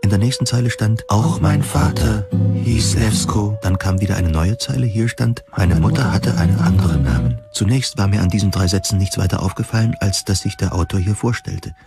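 A man's voice: on the low side, brisk, creaky. A man reading a scripted first-person narration of a dystopian science fiction story. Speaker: audio drama narrator. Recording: music playing behind the voice. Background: plucked guitar music.

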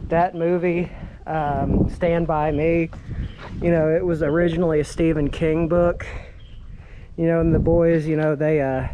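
A man's voice: a little high, noisy background. A man in his thirties narrating a casual exploration vlog outdoors on a handheld camera, talking in an awestruck, exclaiming way. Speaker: vlog host. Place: outdoors in woods around abandoned railway.

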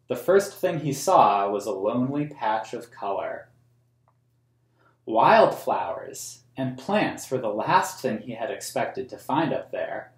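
American guy doing kids story time, takes it slow and gentle, echoey small room. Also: mid-range voice. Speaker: museum story time host. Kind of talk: reading a picture book aloud to children. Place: small plain room indoors. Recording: roomy indoor echo.

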